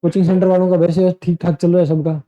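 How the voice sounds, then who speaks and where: A man's voice: high-pitched, flat delivery, quick pace, warm tone, dull audio. A man giving a casual spoken coaching lesson, chatty and repeating his points with loose restarts. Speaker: PTE and IELTS coaching teacher. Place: indoor teaching room.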